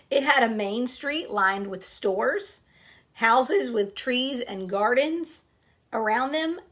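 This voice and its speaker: A woman reading a children's picture book aloud, pausing between short phrases and speaking directly to young listeners. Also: high voice, a bit bright.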